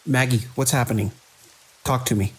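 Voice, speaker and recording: A man playing a weary, conscientious hospital director in an audio drama, with a gravelly, husky voice. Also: mid-range voice, steady tone, moderate pace, close to an everyday voice. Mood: alarmed. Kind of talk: audio drama acting, log dictation and urgent calls.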